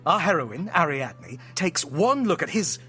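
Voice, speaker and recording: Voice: medium pitch, big pitch swings, a little slow, pushed and bright, faint music behind. An actor in an audio drama playing a playful, theatrical storyteller who narrates with grand melodrama and teasing asides. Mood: theatrical, melodramatic. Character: playful, theatrical storyteller friend spinning a myth at home.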